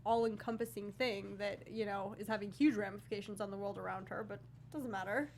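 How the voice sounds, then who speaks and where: Woman co-host, medium pitch, faint noise behind. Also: steady tone, fairly quick, a little mellow. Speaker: talk show co-host. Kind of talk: casual panel chat about a film.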